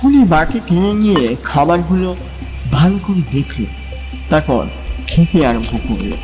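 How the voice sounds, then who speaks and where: A man narrating a literary story in a measured, dramatic style. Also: a little high, expressive. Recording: long reverb, music and rain behind.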